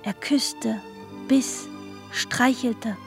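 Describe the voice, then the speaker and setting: A woman's voice: a little high, fairly even intonation, drawn-out words, vocal fry, exaggerated voice. A woman reciting fairy-tale passages in a radio play, in a lilting storyteller's manner. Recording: music under the voice.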